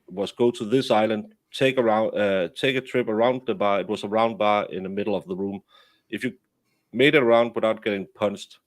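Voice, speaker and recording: A man spins loose, rambling anecdotes in a relaxed online chat. His voice sits a little low, and the close sound is dry and free of room echo. He trips over his words and circles back often. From Denmark. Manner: rambling storyteller with many restarts. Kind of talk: casual chat on a stream.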